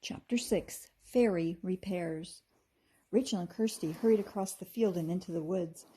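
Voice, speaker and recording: A woman with a husky voice reading a children's story aloud expressively, like a teacher to her class. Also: lowish voice, moderate pace, warm tone.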